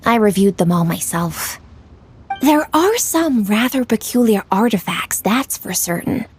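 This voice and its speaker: A woman's voice: medium pitch, animated, a little slow, a little hiss or hum, close to an everyday voice. A woman playing a young, diligent female official in a video game, speaking in a composed, polite and formal way.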